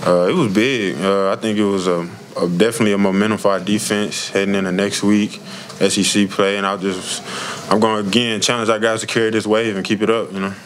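Young man, college football player, low flat delivery, modest press conference answers, slightly noisy room. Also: brisk. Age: early 20s. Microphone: tabletop microphone.

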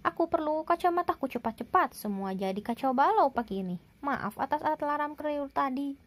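A woman voicing a forgetful, easily confused, excitable man from a storybook, in a dramatic, bewildered manner. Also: high-pitched, a little fast, a little hiss or hum. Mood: flustered.

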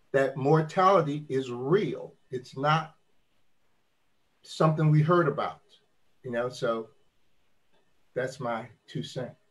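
A man in his sixties, still working, speaking as a panelist on a video call from a home study in a thoughtful, folksy way. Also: mid-range voice. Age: 60s.

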